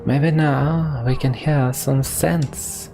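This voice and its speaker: Man acting a smug ambitious villain, slow delivery, sneering, fantasy game, faint music. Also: medium pitch, steady tone, creaky, pitched above the performer's own voice. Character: scornful, scheming ambitious male rival lord.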